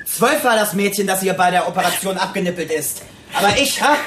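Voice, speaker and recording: Radio drama villain: mocking, colloquial criminal, sneering menace, noisy ambient setting. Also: male voice, sits high, animated, a little fast. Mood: mocking, menacing. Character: menacing, mocking male criminal, crude and taunting.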